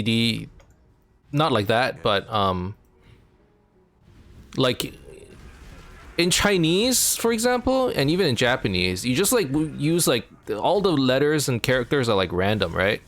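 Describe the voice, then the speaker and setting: A young man from Canada chats with his stream viewers from home, mid-pitched and full of fillers. His voice leaps up when he gets going. Quiet game music plays behind him. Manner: rambling, filler-heavy, pitch jumps up when animated. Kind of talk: casual chat with live chat while gaming.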